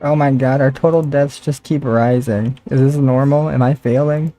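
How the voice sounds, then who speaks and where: A man's voice: mid-range voice, steady tone, moderate pace, dark tone, faint music behind. A man doing casual, slangy live stream commentary over a game, with joking complaints.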